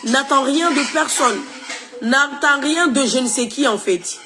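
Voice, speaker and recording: Slow-talking woman. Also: high-pitched, bright, forceful tone. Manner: slow, rambling, opinionated, switches languages. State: recovering from flu, tired-sounding. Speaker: social media creator. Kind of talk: casual talk to followers, mixing in Shimaore.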